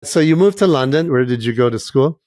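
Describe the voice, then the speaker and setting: A man hosting a podcast interview, asking measured, prepared questions. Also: mid-range voice, animated, darkish tone, no room sound. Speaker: podcast host.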